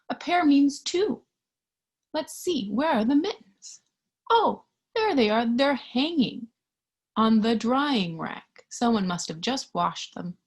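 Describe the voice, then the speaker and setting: A woman with a fairly high, breathy voice reads a picture book to small children. She takes her time, lilting gently and pausing to ask simple questions. Her delivery is full of wonder and sometimes drops to a hush. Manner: gentle, singsong, sometimes hushed to a whisper. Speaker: storyteller reading a picture book to young children. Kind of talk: interactive children's read-aloud with questions to the listener.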